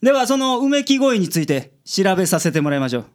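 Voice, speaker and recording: An actor as male detective, Kansai accent, blunt, self-assured, very animated pitch, radio drama. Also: fast, close to an everyday voice. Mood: businesslike.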